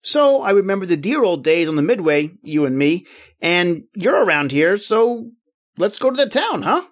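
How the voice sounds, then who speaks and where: Brash American newspaperman, played by a man, highish voice, slangy and excitable, radio-play pal out for a good time. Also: moderate pace. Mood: chummy, eager. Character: brash, jovial male American newspaperman, eager for nightlife. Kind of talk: old-time radio drama acting.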